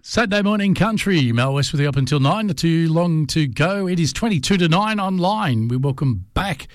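A man's voice: fairly high pitch, expressive, moderate pace, no room sound, clean studio sound. A man with an Australian accent hosting a country music radio show, chatting casually with a guest and dropping lots of fillers. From Hunter Valley, New South Wales, Australia. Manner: friendly, chatty, many fillers. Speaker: radio host.

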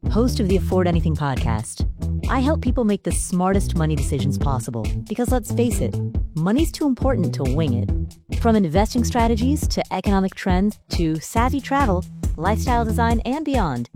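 A woman's voice: on the low side, big pitch swings, normal speed, over music. A woman podcast host reading a scripted promo for her show.

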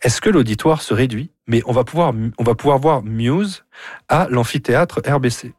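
A husky-voiced man with an accent from France, recorded dry and close. He works as a radio music columnist, talking casually and teasingly, with many digressions. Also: medium pitch, animated, normal speed, a little mellow, dry recording. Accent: French from France.

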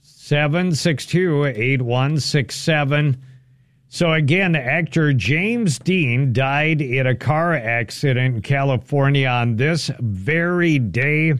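A man's voice: medium pitch, slow, dry recording, clean studio sound. A man hosting a local radio show, chatting easily and running a call-in trivia game. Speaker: radio host. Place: radio studio.

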